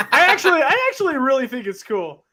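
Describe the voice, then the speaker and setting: An excitable man with a high, bright voice hosting a casual group chat. He talks eagerly and swears now and then in delight. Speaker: podcast host.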